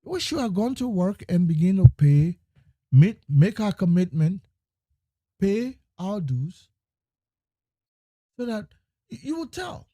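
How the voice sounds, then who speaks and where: A man giving an emphatic political monologue, repeating phrases and swinging between loud and hushed lines. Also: high-pitched, sing-song, takes it slowly, long pauses, no echo. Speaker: political commentator.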